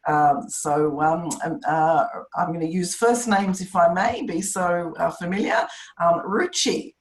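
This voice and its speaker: A woman with a fairly low voice chairs an informal video call. She is chatty, polite and encouraging as she moves the meeting along. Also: measured pace, echoey room. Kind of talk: informal online meeting facilitation.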